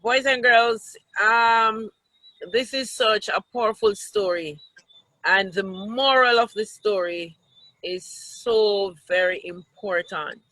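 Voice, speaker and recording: Bright, slow-speaking woman storytime teacher. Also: mid-range voice, no echo, rough recording. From Jamaica. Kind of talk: live talk to children.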